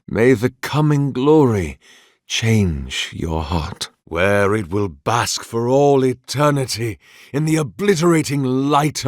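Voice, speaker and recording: Obsessive male scholar-zealot, fantasy video game, slow deliberate pace, slightly husky, grandiose, formal, devout. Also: lowish voice, no room sound, clean studio sound, heavily acted. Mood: solemn, fervent. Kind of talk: theatrical fantasy game acting.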